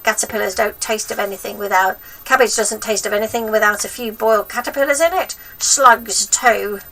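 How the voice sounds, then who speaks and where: A woman's voice: mid-range voice, fairly quick, a bit bright, distant mic, voice pushed up, poor audio. A woman voicing a sinister, gloating old grandmother in a storybook, drawing out nasty words with menacing relish. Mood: snappish, bossy. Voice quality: throaty, breathy whisper at times.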